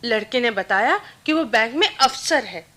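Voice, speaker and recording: Anxious young unmarried woman, radio drama, Indian accent, high-pitched, bright, hesitant and confiding. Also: animated, normal speed. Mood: worried, confiding. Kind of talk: Hindi radio play acting.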